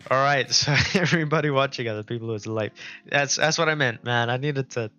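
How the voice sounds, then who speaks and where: A man's voice: medium pitch, brisk, pushed and bright, dull audio. A man from South Africa with a South African accent, whose first language is Afrikaans, chatting casually and thinking aloud on a live game stream. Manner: rambling, thinking aloud, unscripted. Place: indoors at a laptop. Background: music playing.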